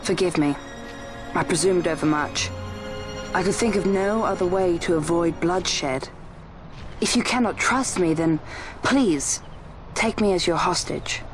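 Courteous, diplomatic boy prince. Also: sits high. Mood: apologetic. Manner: formal, measured, courteous. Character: polite, diplomatic young imperial prince, a boy. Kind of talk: video game cutscene acting. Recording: orchestral music behind the voice.

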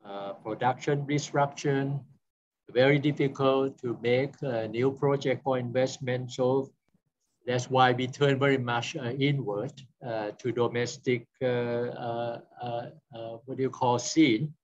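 A man in his sixties chairing a conference session over a video call, speaking haltingly and searching for words as he introduces the panel. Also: medium pitch, steady tone. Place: small office, indoors. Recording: dull lo-fi video-call audio.

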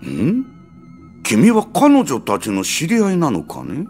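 A pompous anime alien spaceship captain, gravelly, swings wildly up and down, faint music behind. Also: male voice, on the high side, wildly swooping pitch, slow, a little mellow, exaggerated voice. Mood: surprised. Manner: grandiose, old-fashioned authoritative speech, dramatic outbursts. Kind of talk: anime drama CD acting.

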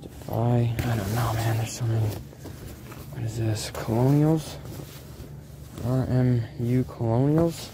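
A man's voice: mid-range voice, fairly even intonation, slow, long pauses. Slangy man muttering over warehouse noise. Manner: slangy, muttering short phrases. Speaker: vlogger, vintage clothing reseller. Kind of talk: casual off-the-cuff commentary while sorting clothes. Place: large indoor thrift warehouse. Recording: clear background noise of a warehouse.

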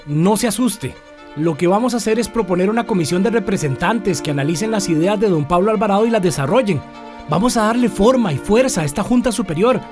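An actor playing a resolute, concerned colonial town leader in a radio drama, emphatic and deliberate. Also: male voice, high voice, lively intonation, a little mellow, faint music behind.

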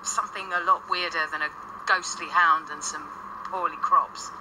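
An actress playing a brusque, sardonic paranormal investigator in a radio drama, dry and cutting in manner. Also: female voice, lowish voice, steady tone, normal speed, noisy background, natural voice. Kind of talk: naturalistic radio drama acting.

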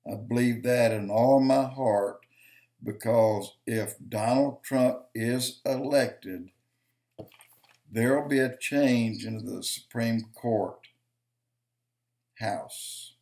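An older man giving slow, emphatic preaching-style commentary to a webcam in his home office, counting off points and repeating lines for stress. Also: mid-range voice, a little mellow. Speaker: video commentator.

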